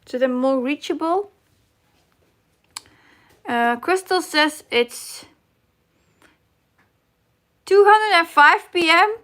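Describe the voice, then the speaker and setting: A woman's voice: extremely high pitch, lively intonation, very slow, pauses often, fairly bright tone. A middle-aged woman with a Dutch accent hosting a casual live chat, reading comments aloud and searching for her English words. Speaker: cross-stitch live stream host.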